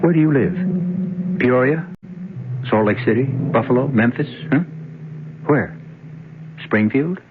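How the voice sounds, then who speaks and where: An American man hosting and narrating a 1970s radio mystery series, theatrical and deliberate, with dramatic pauses. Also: medium pitch, expressive, background noise. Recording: tinny vintage radio broadcast.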